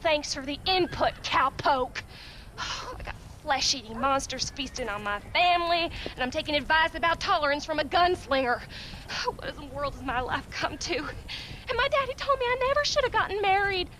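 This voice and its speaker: A shrill, very high frontier wife, her voice leaping all over as she snipes with bitter sarcasm. She nags in a sharp drawl, with a faint outdoor hum behind her. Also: lively intonation, moderate pace. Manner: sarcastic, nagging.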